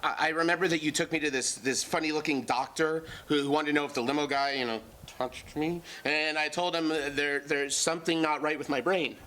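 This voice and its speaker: A man's voice: on the high side, steady tone, fairly quick, bright, forceful tone, poor audio. A man playing a troubled, drug-addled adult son who rambles and stammers in a childlike way during a staged play reading. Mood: amused, rambling. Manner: stammering, rambling, repeats words.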